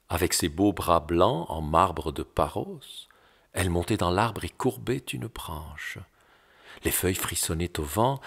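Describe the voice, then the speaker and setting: A man reciting literary poetry in an expressive, theatrical, declamatory manner with dramatic pauses and a husky, gravelly voice. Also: deep voice. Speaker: poetry reader.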